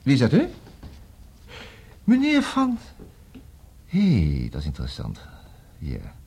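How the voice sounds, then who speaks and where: An actor with a Netherlands Dutch accent playing a busy, wry, smooth-talking divorce lawyer in a formal, fussy manner for a 1960s radio comedy. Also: male voice, mid-range voice, huge pitch swings, slow pace, darkish tone, exaggerated voice. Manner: formal, fussy, hesitant interjections and repeated words.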